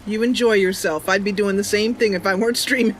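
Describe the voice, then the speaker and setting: A woman's voice: mid-range voice, steady tone, fast talker, slight background noise. A woman hosting a live game stream, chatting casually with viewers and thinking aloud. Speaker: live streamer and channel host. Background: game music.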